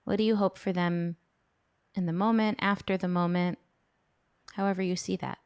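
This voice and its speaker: A woman's voice: lowish voice, steady tone, moderate pace, darkish tone, smooth. A woman hosting a podcast in a conversational style. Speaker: podcast host.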